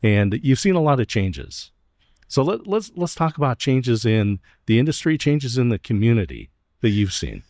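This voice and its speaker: A man hosting an interview, asking thoughtful, steady questions. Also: mid-range voice, moderate pace, no echo, clean studio sound. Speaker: host. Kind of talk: interview host. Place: stadium club room.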